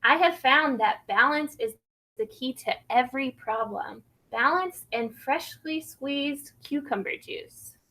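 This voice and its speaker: A grown dinosaur from a picture book voiced by a woman offers kindly, sure advice. The voice sits high and bright, and the delivery is measured and instructive. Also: full character voice, poor audio. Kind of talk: children's storybook read-aloud.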